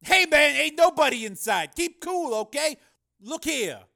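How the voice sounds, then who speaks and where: A flashy male street hustler from an action audiobook, put on by a man narrating. His voice sits far up in pitch with a sharp edge, and he wheedles and pleads uneasily in loose slang. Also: big pitch swings, unhurried, creaky, heavily acted. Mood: uneasy, placating.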